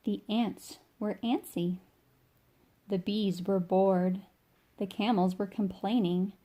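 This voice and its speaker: A woman reading a children's picture book aloud as an informal, expressive storyteller who stretches key words for young listeners. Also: mid-range voice, takes it slowly. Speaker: read-along storyteller.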